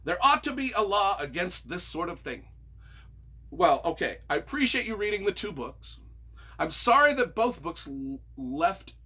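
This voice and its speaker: Animated, bright-voiced man reading reviews. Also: fairly high pitch, slightly rough. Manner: expressive, wry, self-deprecating. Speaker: author and YouTuber. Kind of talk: reading reviews aloud with casual reactions.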